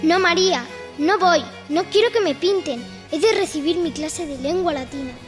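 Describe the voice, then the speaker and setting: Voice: extremely high pitch, moderate pace, faint music behind. A performer playing a headstrong, curious little princess, bossy and eager, in a radionovela-style radio drama. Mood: stubborn.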